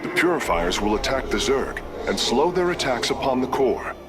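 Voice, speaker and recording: An actor playing an earnest, cautious alien engineer in a video game, speaking precisely and formally. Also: male voice, medium pitch, very animated, moderate pace, loud music behind the voice, lightly acted. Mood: focused urgency. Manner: precise, formal, explanatory speech. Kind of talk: dramatic video game voice acting.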